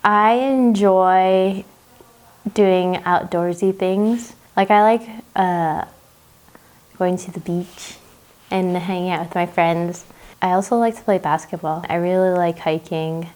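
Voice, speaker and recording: Young woman, lower voice, chatty and casual, a bit self-conscious. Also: slow, a little mellow. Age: young adult. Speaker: college student. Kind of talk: documentary interview.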